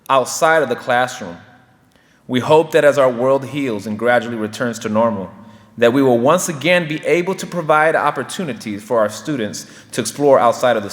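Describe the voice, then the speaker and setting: A man, a Spanish teacher, giving a formal, earnest ceremony speech to honor students. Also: fairly high pitch. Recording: hall with long reverb.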